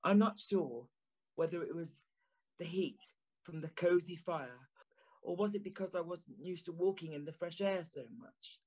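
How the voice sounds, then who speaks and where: A gentleman storyteller narrating an eerie Victorian audio play. His voice sits a little high, and his delivery is measured and suspenseful. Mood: reflective. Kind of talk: scripted dramatic narration.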